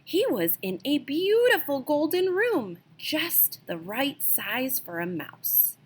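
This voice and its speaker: A woman reading a children's picture book aloud as an expressive storyteller, with dramatic emphasis. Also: high voice, takes it slowly. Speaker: storybook reader.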